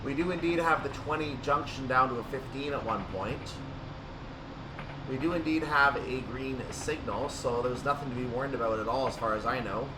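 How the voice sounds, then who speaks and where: Male gaming host, mid-pitched, thinking aloud through his play, recorded off-mic with clear background hum. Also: moderate pace, recorded from a distance. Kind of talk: unscripted gameplay commentary on a train simulator. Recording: roomy, off-mic, steady hum and rumble.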